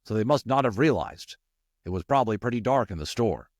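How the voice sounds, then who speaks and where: A man's voice: lowish voice, expressive, brisk, no echo, close to an everyday voice. A blunt, worried male rat in a storybook, voiced by a man, with widely swinging intonation and an urgent delivery. The sound is close and very dry. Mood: reasoning, grim. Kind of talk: audiobook character voice.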